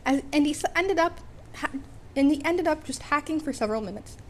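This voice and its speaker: A woman with a high voice narrates a comic story as an amateur audiobook reader. Her delivery is wry, with occasional stumbles and repeated phrases. Background noise runs under the home recording.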